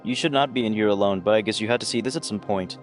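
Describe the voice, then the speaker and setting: Man playing an uneasy lab technician, low voice. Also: fast talker, natural voice. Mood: guilty. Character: uneasy, conscience-stricken male gallery lab technician. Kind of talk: scripted audio story dialogue. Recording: music playing behind the voice.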